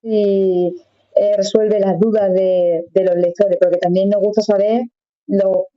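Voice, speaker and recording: A woman hosts an interview, chatty and encouraging, tossing in little tag questions. Her voice sits at a middle pitch, and the recording sounds dull and lo-fi. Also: flat delivery, unhurried. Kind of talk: friendly casual interview.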